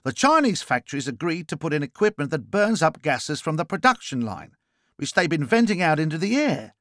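Guy doing a bossy aussie business lady for an audiobook, higher pitched, abrupt, fed up and impatient. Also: sing-song, a little fast, fairly bright tone, no echo, clean studio sound. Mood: insistent. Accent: Australian. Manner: abrupt, pushy, exasperated. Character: brash, impatient Australian businesswoman on the phone. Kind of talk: audiobook character dialogue.

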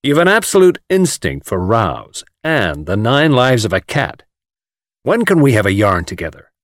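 A man voicing a gruff, hearty male adventurer who teases his friend bluntly in an audiobook story. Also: medium pitch, huge pitch swings, moderate pace, no room sound, studio quality. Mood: hearty, amused. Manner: blunt, jocular.

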